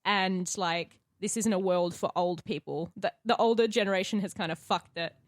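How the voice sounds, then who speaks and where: A woman's voice: fairly low pitch, moderate pace, dry recording, clean studio sound. An Australian woman in casual podcast conversation, explaining her opinions as one of the players. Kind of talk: casual chat.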